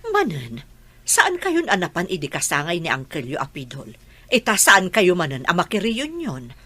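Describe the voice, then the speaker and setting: Actress as exasperated mother, Ilocano accent, lowish female voice, very animated, radio drama. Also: moderate pace, husky, heavily acted. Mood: coaxing.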